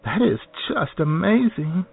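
A flirty, gushing celebrity interviewer acted by a man for radio comedy. The pitch leaps all over, with some vocal fry, over music in a muffled recording. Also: big pitch swings, a little slow, exaggerated voice. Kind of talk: comic radio interview sketch. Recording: dull lo-fi radio audio with music behind.

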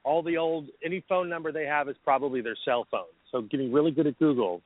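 A man on a casual panel chat, quick and offhand. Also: a little high. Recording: tinny phone line.

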